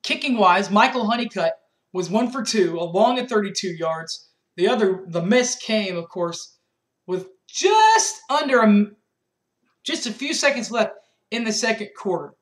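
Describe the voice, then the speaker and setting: Slow-talking young man reading game stats. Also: sits high, expressive. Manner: methodical stat-reading with restarts. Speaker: sports fan reviewer. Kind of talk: postgame recap. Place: small bedroom indoors. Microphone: webcam at desk.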